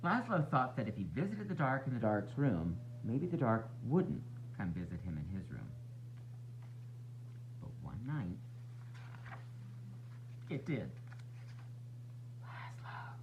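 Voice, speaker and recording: A man reads a picture book for small children. His pitch swings widely, and he paces phrases deliberately with dramatic pauses. The recording is muffled, with noticeable background noise. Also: wildly swooping pitch. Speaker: storytime reader. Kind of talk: expressive picture book read-aloud for young children.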